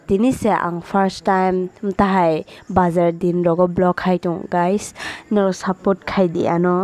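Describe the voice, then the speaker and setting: A woman vlogger chatting casually as she walks through an outdoor market, filming on a handheld phone. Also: fairly low pitch. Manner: chatty, relaxed running commentary. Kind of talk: casual market walk vlog. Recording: crowd noise of a busy market. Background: busy market crowd.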